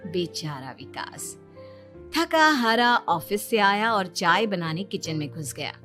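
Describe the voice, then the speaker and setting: A woman's voice: medium pitch, very animated, slow pace, pushed and bright, faint music behind. A woman narrating a scripted comedy cartoon story.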